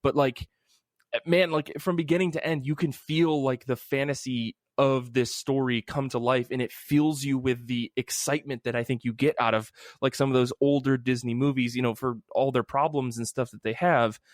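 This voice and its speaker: A man hosts a casual hobby podcast in a medium-pitched voice. He talks effusively and with emphasis, dropping swear words freely. The sound is dry, with very little room on it. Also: fairly even intonation, normal speed, no room sound. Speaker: podcast host. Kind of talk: casual chat about comic books.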